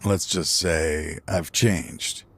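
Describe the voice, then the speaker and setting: A grieving old father in a game cutscene, his voice very deep and his pace slow and heavy. He sighs often and sounds worn down by regret. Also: fairly even intonation, breathy edge, full character voice. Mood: weary regretful. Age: older. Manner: weary, slow, sighing. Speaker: video game character.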